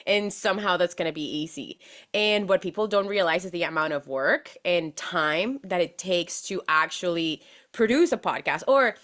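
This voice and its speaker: A young woman from Venezuela talks as a podcast guest over a video call. Her voice sits a little low, and she speaks animatedly, laughing often and restarting sentences. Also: expressive, moderate pace, a bit bright. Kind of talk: casual interview chat.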